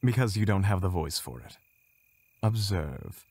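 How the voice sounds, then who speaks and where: A man playing an aloof, philosophical mage in a fantasy audio drama, speaking in a deliberate, pompous, detached way. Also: sits low, lively intonation, a little mellow, slightly rough, no echo, heavily acted. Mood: smug, musing. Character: Phineas, aloof, philosophical male mage with dark ambitions.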